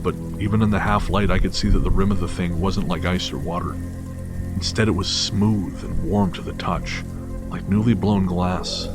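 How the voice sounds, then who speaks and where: A low-voiced man narrates a scripted horror tale in the first person. His delivery is dramatic storytelling, set over a prominent bed of music. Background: loud music.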